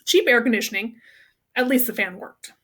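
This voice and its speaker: Woman reading a kids novel out loud, voice a bit on the high side. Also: normal speed, husky. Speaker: read-aloud reader. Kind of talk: children's novel read-aloud.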